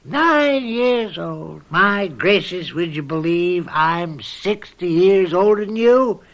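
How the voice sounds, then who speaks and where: An actor playing a jovial but bossy and miserly old farmer uncle of 69 in a radio mystery drama, folksy and rambling. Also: male voice, high voice, lively intonation, takes it slowly, a bit bright, heavily acted. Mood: jovial, coaxing. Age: older. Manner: folksy, rambling, chuckling hard bargainer.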